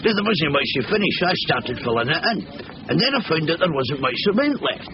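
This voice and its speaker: An actor playing a chatty, nervy Scottish working-class labourer who rambles colloquially in a 1970s British radio comedy thriller. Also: male voice, on the high side, sing-song, fast talker. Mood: explaining eagerly. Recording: muffled, noisy old radio broadcast.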